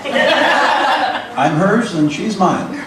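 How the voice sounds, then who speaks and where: A man's voice: sits high, sing-song, very slow, distant mic, heavy background noise. Playful man joking in an echoey room. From Pacific Northwest. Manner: playful, self-deprecating, rambling. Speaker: comedian, the woman's husband. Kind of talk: stand-up routine. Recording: echoey room, mic from afar.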